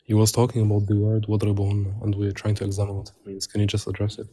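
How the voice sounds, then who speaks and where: A man's voice: on the low side, completely flat delivery, racing, mellow, no echo. A man on a live stream as a caller in a casual argument, interjecting for his turn, his voice run through a voice changer. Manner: interjecting, asks for a moment to speak.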